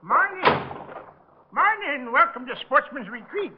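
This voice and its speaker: High-pitched, folksy rural old foreman. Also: big pitch swings, unhurried, heavy background noise, exaggerated voice. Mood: welcoming, chatty. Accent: rural American. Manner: folksy, rambling, repeats himself. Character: folksy, talkative old lodge foreman, eager and muddled. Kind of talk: old-time radio acting. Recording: dull vintage radio broadcast recording.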